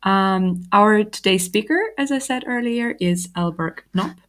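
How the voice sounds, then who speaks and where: A woman's voice: medium pitch, measured pace. A woman hosting a live online session, upbeat and chatty, with fillers and laughter. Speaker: host.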